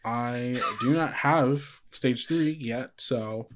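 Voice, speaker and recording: Male game master for actual-play horror podcast. Conversational, improvised narration, average pitch, often asks questions. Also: drawn-out words.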